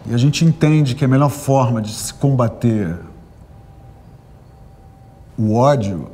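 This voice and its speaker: A man in his fifties from Rio with a carioca accent, telling his story in a relaxed, animated way in a studio interview. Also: medium pitch, takes it slowly, slight background noise. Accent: Carioca Brazilian Portuguese. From Rio de Janeiro. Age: 50s. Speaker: interviewee, bookseller. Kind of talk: reflective testimonial. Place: studio with gold curtain backdrop.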